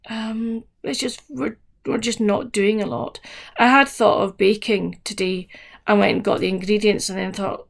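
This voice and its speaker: A woman chatting casually to camera as a book vlogger, her voice croaky, in a meandering, soft-spoken way. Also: medium pitch.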